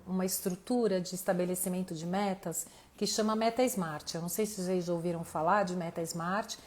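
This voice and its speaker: A Brazilian woman speaks as a mentor leading an informal live lesson. Her pitch sits in an average female range. She addresses listeners directly and thinks out loud as she explains, her voice a little worn from talking. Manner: conversational, addresses the audience directly, thinks aloud. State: voice tiring, losing it slightly. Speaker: mentor hosting an online live class. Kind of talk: informal motivational teaching to camera.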